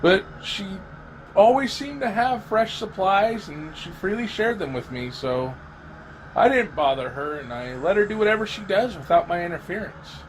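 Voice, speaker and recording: A man playing a lonely, weary, haunted apocalypse survivor, telling his story in an amateur audio drama with halting, dramatic pauses. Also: high-pitched, expressive, a little hiss or hum, voice pushed up, poor audio. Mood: lonely, worried. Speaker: amateur voice actor. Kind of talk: scripted first-person audio drama narration.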